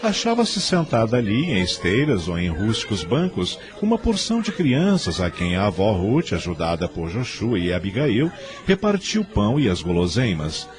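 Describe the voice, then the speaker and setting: A man's voice: fairly low pitch, wildly swooping pitch, normal speed, hardly any pauses. A man narrating a scripted radio drama in a dramatic storytelling style. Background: faint background music.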